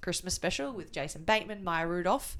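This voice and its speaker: A woman with a slightly low-pitched voice co-hosts a casual review podcast. She speaks informally and with enthusiasm, slipping in slang as she chats. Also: animated, a little fast, fairly bright tone. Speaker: podcast co-host. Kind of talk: casual film and TV review chat.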